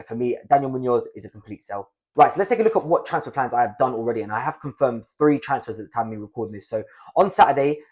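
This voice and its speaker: A young adult man with a croaky, raspy voice from a cold, giving chatty fantasy football analysis on his channel. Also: mid-range voice, a little mellow. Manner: chatty, conversational, fast. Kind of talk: casual analysis to camera.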